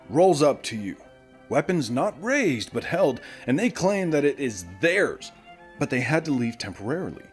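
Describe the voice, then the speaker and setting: Actor as animated post-apocalyptic radio host man. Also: mid-range voice. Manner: conversational, animated, addressing listeners directly. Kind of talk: audio drama radio host monologue.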